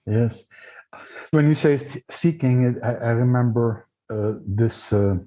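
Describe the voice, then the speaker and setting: A man's voice: medium pitch, unhurried, mellow, low-quality recording. A man with an Austrian accent, speaking thoughtfully and searching for words in English. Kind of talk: casual spiritual conversation.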